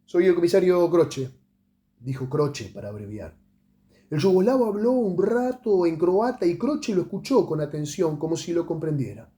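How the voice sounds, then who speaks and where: A man narrates literary fiction as an audiobook reader. His voice sits a little high for a man, yet it is dark and mellow. He reads dramatically, letting phrases trail away into pauses. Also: big pitch swings. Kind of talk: literary short story narration.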